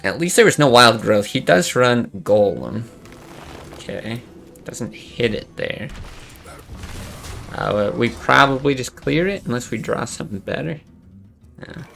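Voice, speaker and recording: A man's voice: mid-range voice, lively intonation, unhurried, vocal fry, pauses often, fairly bright tone, soft background music. A young man streaming a card game, chatting casually and thinking aloud, swearing under his breath now and then. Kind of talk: casual gameplay commentary.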